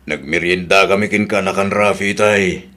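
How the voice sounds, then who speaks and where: A man's voice: sits low, fairly even intonation, moderate pace, bright, forceful tone. A man with an Ilocano accent playing an easygoing older village uncle in a radio comedy drama. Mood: relaxed.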